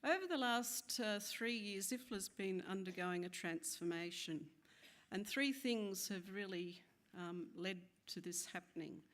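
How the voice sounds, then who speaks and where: A woman's voice: medium pitch, animated, measured pace, a bit bright. Woman introducing keynote speakers. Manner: informal, some fillers. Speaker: conference host introducing speakers.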